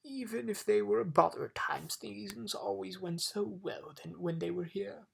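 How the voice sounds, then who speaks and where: A woman's voice: mid-range voice, measured pace, creaky, a little hiss or hum, voice pushed up. A woman voicing a weary, formal storybook king who is wistful and easily outraged. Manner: formal, proclaiming.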